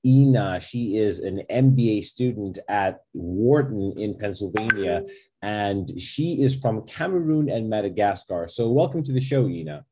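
Husky, lowish-voiced male interviewer. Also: lively intonation, a little slow, a little mellow. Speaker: podcast host and interviewer. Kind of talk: conversational interview.

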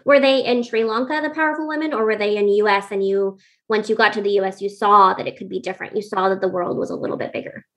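A woman's voice: medium pitch, fairly even intonation, fast. A woman hosting an interview, reading a polished scripted introduction and then asking her guest questions. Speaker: interview host.

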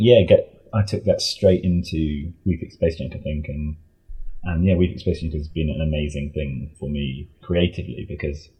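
A man's voice: extremely low pitch, warm tone, husky, poor audio. A man talking casually as an interview guest, a composer speaking about his creative work.

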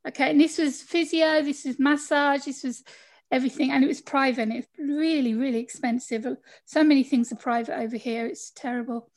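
Chatty high-voiced British woman. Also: steady tone, fairly quick. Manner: chatty, laughs often, acts out quoted people. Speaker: podcast guest. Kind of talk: storytelling in a casual interview.

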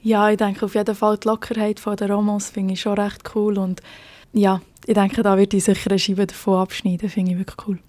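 A woman's voice: mid-range voice, little pitch movement, brisk, a little mellow, no room sound. A young woman from Switzerland with a Swiss German accent, a police officer telling of her work in a podcast interview, hesitant and full of fillers.

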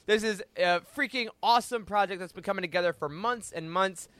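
A man's voice: sits high, a little fast, fairly bright tone, no echo. A man hosting a casual panel podcast in an enthusiastic, playful manner, close on the microphone. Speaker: podcast host. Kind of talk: casual, excitable panel chat.

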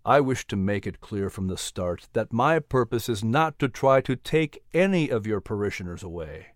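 A man playing a gracious young preacher in a storybook, speaking plainly, gently and sincerely. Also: lowish voice, lively intonation, normal speed, no echo, clean studio sound, voice pushed down, natural voice. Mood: humble, earnest. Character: humble, earnest, gracious young male preacher.

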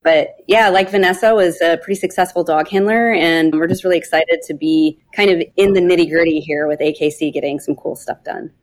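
Woman podcast guest, lowish voice, casual chatty answers. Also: fairly even intonation, a little fast, hardly any pauses. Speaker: podcast guest, project analyst.

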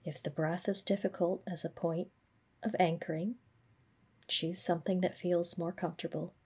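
A woman gently guiding a body scan meditation, speaking in a measured, instructional way. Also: lowish voice, fairly even intonation, husky. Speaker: mindfulness practice guide.

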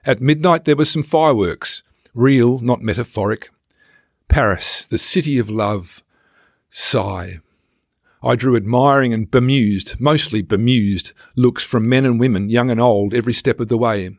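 A man's voice: medium pitch, unhurried, no room sound. Dry-sounding man narrating a wry memoir. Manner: wry, self-deprecating. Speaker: podcast host and memoir narrator. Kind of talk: scripted first-person travel memoir narration. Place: podcast recording studio. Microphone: close studio microphone.

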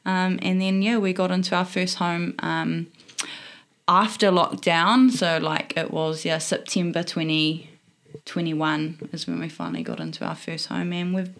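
A woman's voice: on the low side, measured pace. A woman in her thirties from the Eastern Bay of Plenty with a New Zealand accent, chatting casually as an interview guest with many ums. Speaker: netball player guest.

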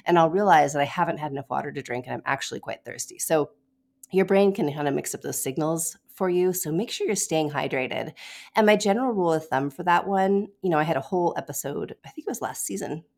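A woman's voice: low-pitched, quick pace, vocal fry, a little mellow. A woman hosting a podcast with a head cold that makes her voice nasal, talking casually and encouragingly with the odd light laugh. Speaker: podcast host and nutrition coach. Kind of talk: casual solo podcast advice.

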